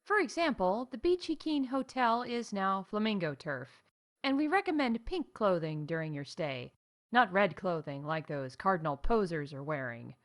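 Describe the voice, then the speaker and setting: A woman reading scripted comedic announcements in a playful, mock-official deadpan as the announcer of a news show. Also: mid-range voice, moderate pace. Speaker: announcer of a fictional theme park news show.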